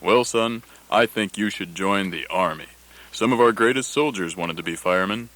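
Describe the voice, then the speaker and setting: A man's voice: fairly low pitch, normal speed, a bit bright, natural voice, poor audio. An actor in a satirical radio play playing a folksy, paternal middle-aged father advising his son.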